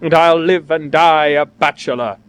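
A man's voice: on the high side, moderate pace, clear, resonant tone, natural voice. A man voicing a fierce, overdramatic little boy in a story, petulant and exclamatory. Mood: furious.

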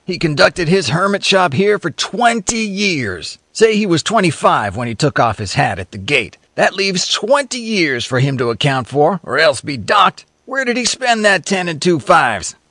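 A man's voice: high voice, sing-song, moderate pace, hardly any pauses, sharp tone, no room sound, studio quality, voice pushed up, full character voice. Man doing a cynical drawling old-time storyteller. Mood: sardonic. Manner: folksy slangy storyteller.

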